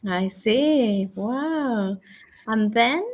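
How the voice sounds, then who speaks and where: Woman, 40s, online conversation tutor, bright high register, patient and encouraging. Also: lively intonation, drawn-out words. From England. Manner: patient, encouraging, repeats the learner's answers back. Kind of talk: casual conversation lesson.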